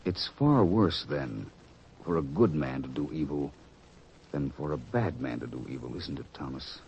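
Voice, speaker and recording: A man playing an earnest disciple of Jesus in an old radio drama, speaking solemnly and deliberately with formal diction. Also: very deep voice, a little mellow. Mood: solemn, contrite. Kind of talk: 1940s radio drama acting. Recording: dull old radio recording, noisy.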